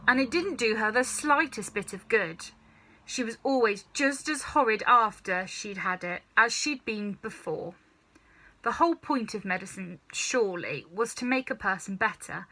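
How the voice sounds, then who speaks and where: A woman reading a children's story aloud in an expressive, dramatic, emphatic way. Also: medium pitch, rough recording. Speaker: storybook reader.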